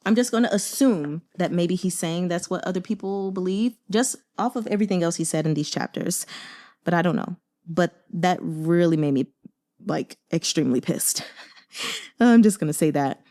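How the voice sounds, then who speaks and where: Expressive American woman chatting about books. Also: on the low side, normal speed. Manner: expressive, conversational, emphatic exclamations. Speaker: book reviewer and host. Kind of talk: casual chatty book commentary.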